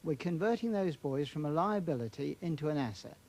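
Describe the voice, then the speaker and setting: A man's voice: on the high side, animated, a little fast, a little mellow. A British councillor, a man of mature years, answering a political interview in an emphatic, formal, declamatory way. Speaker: councillor, studio guest.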